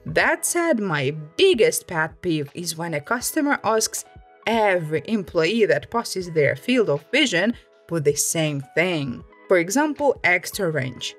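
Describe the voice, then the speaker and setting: Woman narrating stories with very lively pitch. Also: unhurried, faint music behind. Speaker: host reading Reddit stories. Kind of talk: scripted storytime narration.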